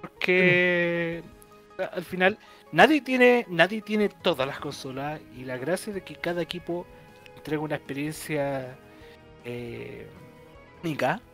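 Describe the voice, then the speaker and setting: A man's voice: on the high side, unhurried, fairly bright tone, soft background music. A Chilean man co-hosting a video game news stream over a video call, arguing his points with many restarts. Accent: Chilean Spanish. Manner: opinionated, thinks aloud with restarts. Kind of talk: casual panel discussion. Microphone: headset on video call.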